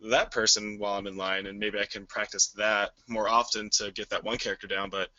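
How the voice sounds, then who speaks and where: A man with a naturally deep voice, asking a voice coach questions on a webinar in an eager, rambling way. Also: little pitch movement, brisk, pushed and bright, dry recording, lo-fi sound. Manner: eager, rambling, hesitant fillers. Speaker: webinar caller, aspiring voice actor.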